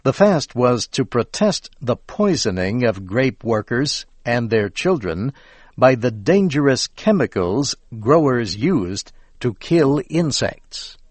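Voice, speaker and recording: An American man reading a scripted educational narration with measured, careful enunciation for English learners. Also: mid-range voice, very animated, no room sound.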